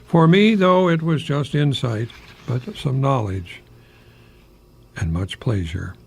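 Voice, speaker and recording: An older man hosting a radio monologue, speaking haltingly in a whimsical, self-deprecating way with a husky, gravelly voice. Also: medium pitch, lively intonation. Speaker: radio host, retired professor. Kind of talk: rambling humorous radio monologue with poetry readings.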